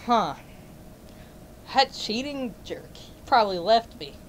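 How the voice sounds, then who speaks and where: Woman as an impatient girlfriend, high voice. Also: lively intonation, slow pace, slight background noise. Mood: scornful, casual. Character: impatient, suspicious girlfriend with a backup boyfriend.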